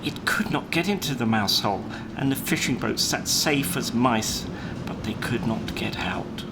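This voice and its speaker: A man reading a children's story aloud in a measured, expressive storytelling manner. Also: medium pitch, very noisy. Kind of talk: scripted children's story narration. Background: guitar music.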